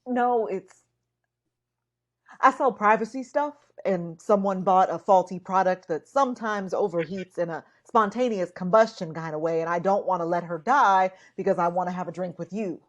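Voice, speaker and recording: An actress playing an awkward, earnest young seller turned investigator in a naturalistic radio drama. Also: female voice, fairly low pitch, muffled recording, lightly acted. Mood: flustered, smitten. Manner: halting, flustered, earnest, wry. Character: awkward, smitten young privacy-gear seller and amateur investigator, Lavender.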